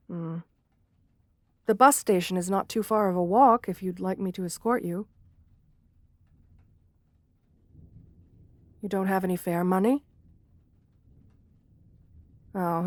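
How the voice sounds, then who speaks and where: Fantasy ASMR roleplay: actress as female gargoyle, lonely and romantic, mid-pitched, gentle, old-fashioned politeness, shy hesitations. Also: dry recording, close to an everyday voice. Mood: polite, curious. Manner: formal, polite, endearing diction with shy hesitations. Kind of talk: ASMR fantasy roleplay, intimate one-sided dialogue.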